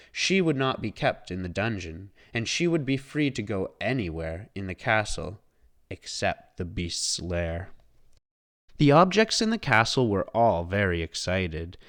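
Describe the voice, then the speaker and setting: A man reading a children's storybook aloud as scripted, expressive narration. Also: lowish voice.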